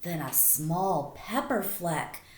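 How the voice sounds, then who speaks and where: Animated, unhurried woman reading to children. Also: lowish voice. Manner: animated, simple child-directed phrasing. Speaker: teacher reading aloud to young children. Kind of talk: expressive picture-book read-aloud with questions. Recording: echoey room.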